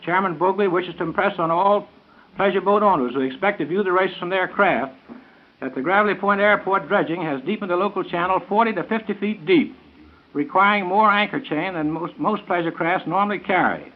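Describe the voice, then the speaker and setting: A man's voice: sits high, a little fast. An American man making scripted announcements in the style of 1930s radio. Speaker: event announcer on a radio show. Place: radio studio. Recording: tinny, narrow 1930s radio broadcast.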